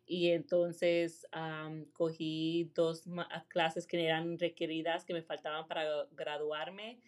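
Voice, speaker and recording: Woman from rhode island, low voice, real estate agent chatting casually, lots of um and so. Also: flat delivery, slow. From Rhode Island, USA. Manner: chatty, switches between Spanish and English fillers. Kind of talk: casual interview.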